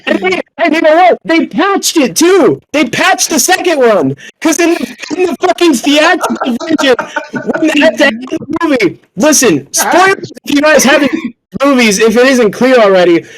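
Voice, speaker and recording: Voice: sits high, expressive, moderate pace, poor audio. A young adult talking casually on a podcast, informal and prone to swearing. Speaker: podcast speaker.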